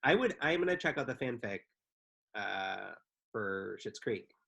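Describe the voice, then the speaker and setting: Easygoing man, halting, full of ums. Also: lowish voice, very animated, vocal fry, bright, forceful tone, breathy edge. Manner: easygoing, hesitant with frequent ums. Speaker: podcast co-host. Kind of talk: casual live podcast chat.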